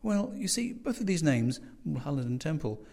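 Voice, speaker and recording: A man's voice: a little high, very animated, moderate pace, creaky, darkish tone, pitched above the performer's own voice, exaggerated voice. A man voicing a polite, puzzled young lodger in a story, earnest and hesitant as he muses. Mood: earnest, searching. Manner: hesitant, musing, insistent. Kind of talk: storybook character voice.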